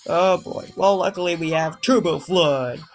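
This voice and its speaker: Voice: medium pitch, a little slow, soft background music. A gamer hosting a casual let's play, talking in a playful, rambling way that drifts into babble. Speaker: gaming video host.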